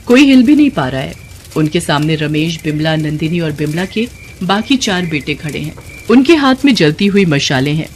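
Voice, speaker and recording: A woman's voice: low voice, big pitch swings, moderate pace, a little hiss or hum. A woman delivering scripted narration for a moral tale as the storyteller.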